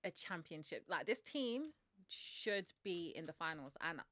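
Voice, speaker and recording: A young woman from the UK co-hosting a basketball chat show, talking in a relaxed, thoughtful way and often trailing off. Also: fairly low pitch, a little slow. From United Kingdom. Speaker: podcast co-host. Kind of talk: casual chat.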